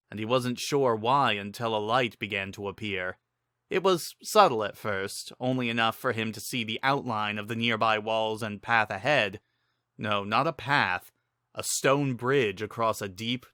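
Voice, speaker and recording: A man's voice: on the low side, sharp tone, dry recording, studio quality. A man giving a dramatic scripted narration of a fantasy adventure story.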